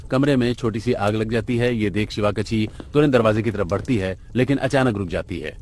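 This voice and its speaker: A man's voice: lowish voice, brisk, a little mellow, a little hiss or hum. A man reading scripted narration for a cartoon story as its narrator.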